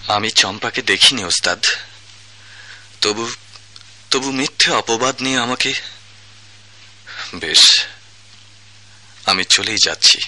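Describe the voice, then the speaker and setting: A wounded, proud pupil of music in a melodramatic radio play, Bangladeshi accent. His voice is low with a very bright, intense edge. He pleads with passion. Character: anguished, devoted male music disciple, wronged and proud. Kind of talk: radio drama acting.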